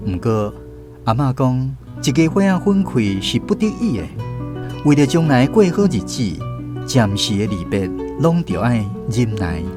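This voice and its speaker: Lively Taiwanese man narrating over guitar music. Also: mid-range voice, a little slow. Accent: Taiwanese Hokkien. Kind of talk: scripted storybook radio drama narration.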